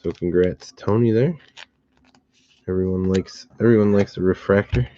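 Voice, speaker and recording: A slightly hoarse man hosting a card box break, calmly listing each card and who it goes to. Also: deep voice, lo-fi sound. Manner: steady, unhurried listing of cards and recipients. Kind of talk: relaxed live box-break commentary.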